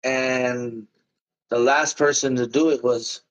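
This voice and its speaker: A man's voice: medium pitch, fairly even intonation, takes it slowly, poor audio. A musician guest in a casual interview, speaking in loose run-on sentences and calling the host "man" and "bro".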